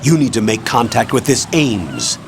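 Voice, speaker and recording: A stern, commanding colonel. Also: male voice, medium pitch, big pitch swings, normal speed. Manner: firm, commanding, evasive. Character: stern male colonel giving orders over radio. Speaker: commanding officer on radio. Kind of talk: video game cutscene acting. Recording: game mix with clear background noise.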